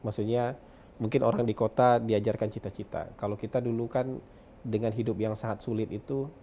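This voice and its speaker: A man's voice: on the low side, flat delivery, fairly quick, mellow, a little hiss or hum. A man in his forties from West Sumatra with a Minangkabau-tinged accent, a political consultant talking reflectively and chuckling often in an interview. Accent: Minangkabau-tinged Indonesian. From West Sumatra, Indonesia. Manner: reflective, chuckles often, mixes in English words. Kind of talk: relaxed interview chat.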